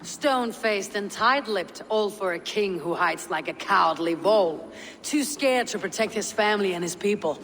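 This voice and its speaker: An actress playing a tough, shrewd Viking raider in a video game, with a dry, measured, taunting manner. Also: female voice, medium pitch, lively intonation, fairly bright tone. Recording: long reverb, faint noise.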